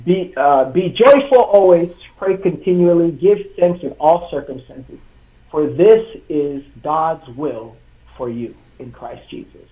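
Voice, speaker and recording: Young Congolese man on a tinny line. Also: a little high. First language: French. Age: young adult. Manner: earnest, halting, many fillers and restarts. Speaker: guest, author. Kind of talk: live online interview. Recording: tinny video call line.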